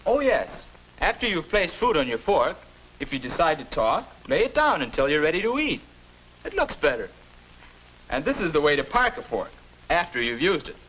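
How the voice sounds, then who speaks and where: A man's voice: a little high, very animated, moderate pace, a bit bright, slightly rough, lo-fi sound, exaggerated voice. An American actor in his twenties playing a patient, encouraging older self instructing a boy in 1950s educational film style. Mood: instructive. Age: 20s. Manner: instructive, encouraging, neatly spoken. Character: patient, upbeat 21-year-old older self mentoring a boy. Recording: noisy old 1950s film soundtrack.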